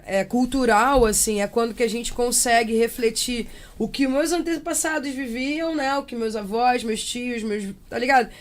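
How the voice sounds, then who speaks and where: Young woman, Brazilian accent, fairly high pitch, conversational, expressive, slangy, reflective. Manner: expressive, acts out imagined quotes, slangy. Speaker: interview guest, percussionist and samba singer.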